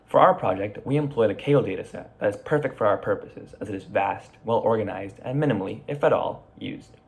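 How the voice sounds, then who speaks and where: Fast-talking teen boy with gravelly voice. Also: lowish voice. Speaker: student presenter. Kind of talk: prepared project presentation. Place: room at home.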